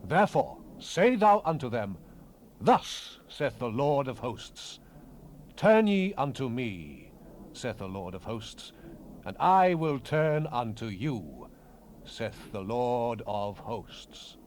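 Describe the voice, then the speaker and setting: A man's voice: mid-range voice, very animated, takes it slowly, a little mellow. A man acting a fervent Bible prophet in a dramatized King James reading, solemn and declamatory, with archaic phrasing. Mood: stern, admonishing. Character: fervent male Bible prophet proclaiming visions and God's words. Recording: noisy unprocessed 1970s cassette rip.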